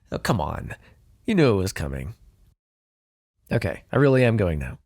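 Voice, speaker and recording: Man acting as smug male vampire, ASMR roleplay, gravelly, swooping intonation, sardonic, flirtatious. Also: fairly low pitch, very animated, measured pace, a little mellow, dry recording, exaggerated voice. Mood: fond, teasing. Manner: sardonic, flirtatious, swearing, sighing. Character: smug, teasing male vampire, possessive but fond.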